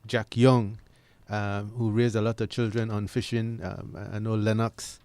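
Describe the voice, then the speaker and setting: A man giving unscripted opinions as a discussion guest, earnest and measured with halting phrasing. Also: lowish voice, little pitch movement, a little mellow, clear, resonant tone.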